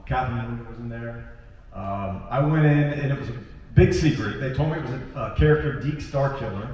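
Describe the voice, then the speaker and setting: A man's voice: mid-range voice, slight background noise. A man voice actor relaxedly telling a panel anecdote with fillers. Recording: echoey hall from far off.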